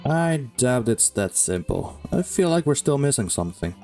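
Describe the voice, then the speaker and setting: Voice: mid-range voice, sing-song, moderate pace, loud music behind the voice. A game streamer giving casual let's play commentary in a hesitant, rambling way.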